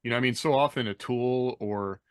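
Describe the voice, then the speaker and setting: A man with a mid-pitched voice explains things informally on a podcast, in a dry recording. His delivery is halting, with frequent rephrasing. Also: smooth, dry recording. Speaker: podcast panelist, military veteran. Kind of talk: casual explanatory chat.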